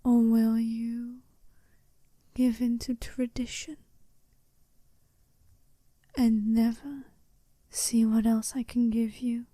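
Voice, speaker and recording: A woman voicing a seductive, self-assured werewolf bride in an ASMR roleplay, soft-spoken and teasing, often slipping into a breathy whisper. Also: on the high side, level tone, no room sound. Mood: alluring, challenging. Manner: slow, teasing, deliberate, intimate.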